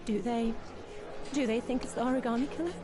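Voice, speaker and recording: A woman's voice: a little high, fairly even intonation, a little fast, close to an everyday voice. An actress playing an anguished, reproachful mother in a video game drama, speaking with heavy emotion. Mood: anxious. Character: anguished, accusing mother of a missing boy. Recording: game music behind dialogue.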